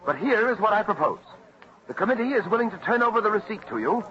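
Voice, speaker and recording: An actor playing a self-assured colonial militia captain in a radio drama, arguing firmly and formally. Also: male voice, high voice, animated. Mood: firm, confident. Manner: firm, formal, measured argument. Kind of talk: golden age radio drama acting. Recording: muffled vintage radio recording.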